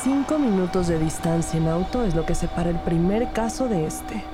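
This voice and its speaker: Actress doing calm lady cop narrator in a crime audio drama, lowish voice, loud music under it. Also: moderate pace, close to an everyday voice. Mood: narrating, measured. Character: police detective woman narrating her team's case, calm.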